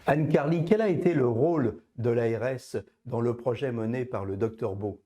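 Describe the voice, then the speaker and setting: A man's voice: medium pitch, normal speed, dark tone. A man working as a reporter, reading scripted narration and putting formal interview questions.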